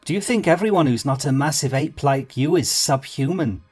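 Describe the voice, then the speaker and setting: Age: adult. Figure: a man voicing a tiny touchy man, high and husky. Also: very animated, soft background music, full character voice. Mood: indignant. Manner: indignant, quick to take offense. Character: tiny touchy Irish man caught in a trap. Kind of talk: storybook character voice.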